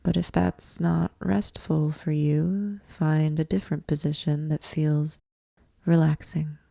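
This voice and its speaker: A woman teaching yoga nidra, guiding a meditation in measured, deliberate phrases with long pauses between them. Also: sits low, level tone, creaky, warm tone, no room sound, clean studio sound. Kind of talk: guided meditation, slow instructional narration.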